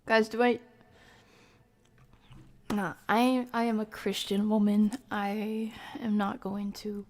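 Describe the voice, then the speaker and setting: A woman's voice: mid-range voice, flat delivery, slow pace, darkish tone. A woman doing casual live game commentary as a streamer, jokey and slangy, with mild exclamations in place of swearing.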